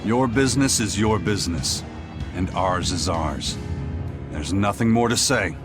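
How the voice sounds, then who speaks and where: An actor playing a grim, aloof pirate first mate in a video game, speaking in a curt, stoic, dry manner. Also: male voice, deep voice, lively intonation, unhurried. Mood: cold, resolute. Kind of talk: English video game dub acting. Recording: loud music behind voice.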